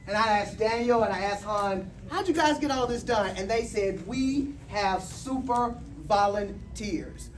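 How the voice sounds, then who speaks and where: A woman campaign organizer loudly rallying volunteers with call-and-response and giving instructions. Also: mid-range voice, unhurried, few pauses, recorded from a distance, poor audio. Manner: loud, call-and-response energy. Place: campaign office with crowd, indoors.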